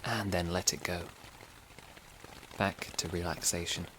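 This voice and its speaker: A man hosting a guided sleep meditation podcast, giving soothing, gentle, unhurried instructions. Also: low-pitched, steady tone, background noise.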